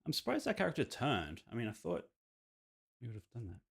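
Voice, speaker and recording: A man's voice: mid-range voice, huge pitch swings, a little fast, vocal fry, a bit bright, slightly rough. A man giving casual commentary on a video game playthrough, reacting and musing aloud as he reads. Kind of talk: game playthrough commentary, reading visual novel dialogue aloud.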